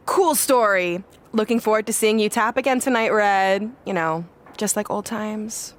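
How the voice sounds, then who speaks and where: Video game role: smug, mocking female wrestling queen bee, mid-pitched, played by a woman. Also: normal speed, close to an everyday voice. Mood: dismissive, taunting. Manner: boastful, condescending, mocking. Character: smug, boastful mean-girl female wrestler. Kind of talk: video game cutscene acting.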